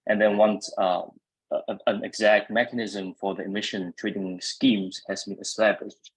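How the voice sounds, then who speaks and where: A man's voice: on the low side, little pitch movement, normal speed, breathy edge, rough recording. A man with a Vietnamese accent moderating an online panel, hesitant with many fillers. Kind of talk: webinar moderation.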